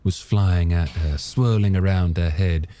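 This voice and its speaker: A man's voice: low voice, a little slow, dark tone, a little hiss or hum. A man reading a story aloud as scripted audiobook narration.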